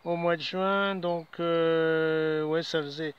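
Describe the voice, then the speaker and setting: A man in his seventies chatting casually over amateur radio with call signs, in a halting, courteous way. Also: a little high, fairly even intonation, clear, resonant tone. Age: 70s. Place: outdoors in a city park. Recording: dull lo-fi audio.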